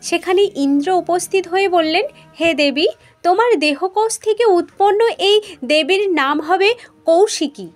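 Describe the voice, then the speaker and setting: Female storyteller, high pitch, scripted narration of myth. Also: a little slow, soft background music.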